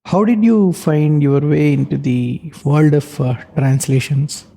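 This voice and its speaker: Dark, gravelly male podcast host. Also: fairly high pitch, a little slow. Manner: reads the intro carefully, with a few hesitations. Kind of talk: scripted introduction and interview questions.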